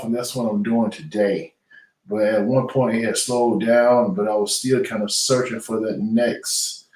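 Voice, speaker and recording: A man's voice: mid-range voice, darkish tone. A middle-aged man, a retired boxer now in business, answering interview questions in an earnest, conversational way with frequent fillers and restarts. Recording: echoey room audio, voice from far off the mic.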